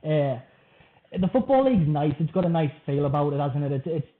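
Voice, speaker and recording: A man with a North East English accent hosts a relaxed podcast, chatting eagerly and informally. His voice sits a little high for a man. The sound is thin and phone-like, as if coming down a call line. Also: a little fast. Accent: Wearside/Tyneside, from Washington. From North East England. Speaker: podcast host. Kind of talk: casual podcast chat.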